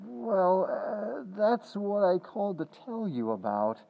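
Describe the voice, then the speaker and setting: A sheepish husband from an old radio comedy, acted by a man. He hesitates and stammers, his pitch moving a lot, in a slightly muffled recording. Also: big pitch swings, slow, gravelly, full character voice. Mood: sheepish, nervous. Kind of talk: 1940s radio comedy acting.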